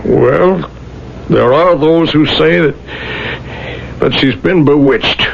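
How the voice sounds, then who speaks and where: An actor playing a gentle, devoted merchant husband, sorrowful and earnest, in a 1970s radio drama. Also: male voice, on the high side, slow, vocal fry, slightly rough, exaggerated voice. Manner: earnest, emotional, pleading. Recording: dull, noisy old radio recording.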